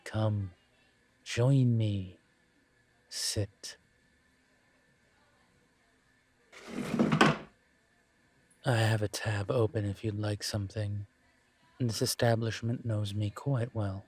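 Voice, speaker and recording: A man voicing a suave, teasing incubus professor in an intimate audio roleplay, courteous and measured, with deliberate pauses. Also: fairly low pitch, fairly even intonation, a little mellow. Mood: teasing, inviting. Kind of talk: intimate one-sided audio roleplay acting.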